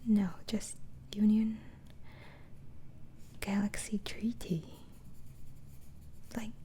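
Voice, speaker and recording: Slow whispering woman, dark and breathy. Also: mid-range voice. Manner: gentle, hesitant questioning with repeated phrases. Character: polite, patient female asylum doctor interviewing a patient. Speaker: ASMR roleplayer playing an interviewing doctor. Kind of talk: ASMR roleplay, soft whispering.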